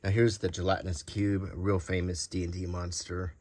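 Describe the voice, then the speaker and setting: A man hosting an unscripted show-and-tell, talking casually and thinking aloud in a rambling, unhurried way. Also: low voice, little pitch movement, darkish tone. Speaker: host showing vintage game cards.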